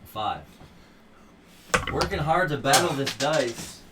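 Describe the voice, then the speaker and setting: A man's voice: on the high side, slow pace. A man running a tabletop game as game master, calling out each dice roll play by play. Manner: steady play-by-play calling of rolls. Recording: distant mic with loud background noise.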